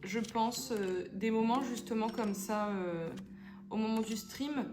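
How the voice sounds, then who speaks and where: A woman's voice: fairly high pitch, takes it slowly. A young woman from France giving casual let's-play commentary, chatty and hesitant with many fillers and English phrases dropped in. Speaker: gaming YouTuber. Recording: echoey room with background music. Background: game music.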